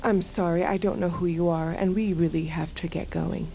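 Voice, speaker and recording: Mellow, creaky, seductive woman. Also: on the low side, steady tone, normal speed, faint music behind. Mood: guarded. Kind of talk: immersive audio drama acting.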